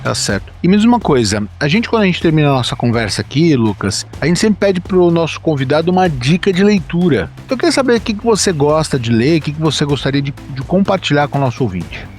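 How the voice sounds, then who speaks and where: A man's voice: fairly high pitch, sing-song, fast talker, few pauses, a little mellow, faint music behind. Brazilian male host asking casual questions. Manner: conversational, prompting with tag questions. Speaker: radio host. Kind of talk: casual interview.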